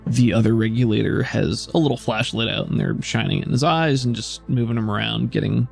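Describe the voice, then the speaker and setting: Casting: male game master, mid-pitched with vocal fry, casual improvised narration over faint music. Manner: casual, halting, descriptive.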